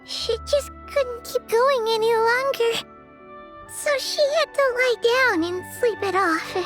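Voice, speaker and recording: A woman voicing a tiny, chirpy, childlike female companion in a video game, who speaks of herself in the third person. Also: takes it slowly. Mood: weary. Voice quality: squeaky, high and childlike. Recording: faint game music behind.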